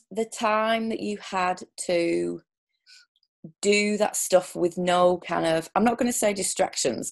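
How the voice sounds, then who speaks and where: A woman's voice: mid-range voice, creaky. A woman hosting a casual interview chat, talking in loose, rambling sentences full of restarts and ums. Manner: chatty, lots of restarts and ums. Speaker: podcast host.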